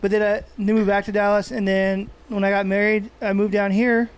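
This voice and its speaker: A man's voice: high voice, flat delivery, normal speed, smooth. Laid-back man smoking and chatting. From Texas. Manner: laid-back, swearing, rambling with restarts, coughing while smoking. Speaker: podcast co-host. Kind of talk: casual chat. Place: indoors, seated smoking.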